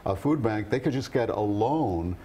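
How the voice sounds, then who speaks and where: A man's voice: lowish voice, expressive, normal speed, dark tone, a little hiss or hum. A man working as a TV news anchor, reading the news and interviewing in broadcast style.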